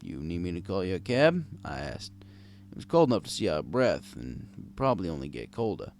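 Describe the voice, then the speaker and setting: A man's voice: sits low, sing-song, a little fast, vocal fry, a little mellow, a little hiss or hum. A man narrating a scripted first-person story as a world-weary, kindly old bartender. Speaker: audiobook narrator.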